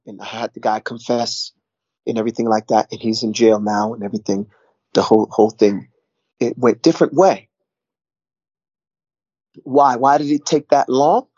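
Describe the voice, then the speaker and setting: A man's voice: medium pitch, normal speed, long pauses, darkish tone, no room sound, lo-fi sound. A middle-aged man, talking casually in a rambling way, repeating words and saying "you know" a lot. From New York. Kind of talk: casual conversation.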